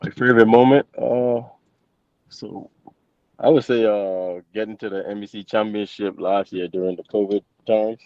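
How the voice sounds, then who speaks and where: A man's voice: lowish voice, steady tone, moderate pace, no echo. A man, giving casual, somewhat hesitant interview answers. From Bahamas. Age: 20s. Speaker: interview guest, college football player. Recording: dull lo-fi close microphone.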